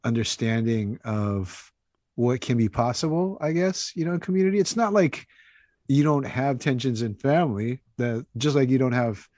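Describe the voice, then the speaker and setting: A man's voice: medium pitch, lively intonation, normal speed, darkish tone, no echo. An American man speaking casually and reflectively as an interview guest, often dropping in "you know" and "right" as he thinks. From Hawaii, United States. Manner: conversational, thoughtful, many hedging asides like "you know" and "right". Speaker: interview guest, author and activist.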